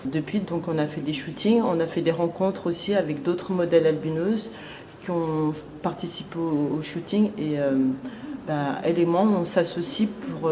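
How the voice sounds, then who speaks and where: A woman's voice: deep voice, noisy background. A woman in her thirties from Cameroon, president of an association, speaking hesitantly with many fillers in an interview. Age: 30s. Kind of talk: testimonial interview.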